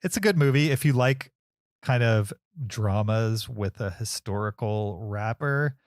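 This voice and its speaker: A man's voice: lowish voice, lively intonation, a little slow, no echo, clean studio sound. A man co-hosting a film podcast, explaining things casually in a hesitant, roundabout way.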